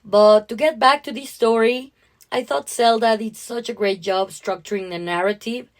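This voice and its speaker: A woman's voice: on the high side, moderate pace. A woman co-hosting a writing podcast, discussing a story conversationally in an appreciative, explanatory way.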